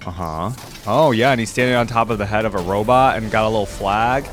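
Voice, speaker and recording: A man's voice: on the low side, fairly quick, a bit bright. A man giving casual, off-the-cuff reaction commentary as a video host, musing aloud in slangy talk. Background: music and video game sounds.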